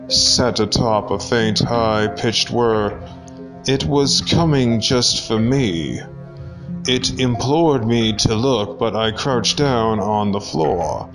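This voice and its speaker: A man with a lowish, creaky voice narrates a horror tale at a very slow pace. He reads it dramatically and deliberately, leaving pauses between phrases, over a music bed.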